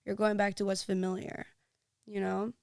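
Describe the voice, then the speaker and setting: A woman's voice: mid-range voice, level tone, normal speed, gravelly, a little mellow, clean tone, no echo, clean studio sound. A woman hosting a podcast, talking earnestly and chattily, full of "like"s. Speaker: podcast host. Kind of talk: casual chat.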